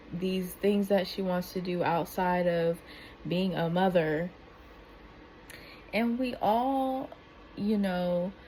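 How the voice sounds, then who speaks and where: A soft-spoken woman, giving earnest casual commentary that rambles with restarts and fillers. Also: medium pitch, a little hiss or hum, rough recording. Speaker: commentary vlogger.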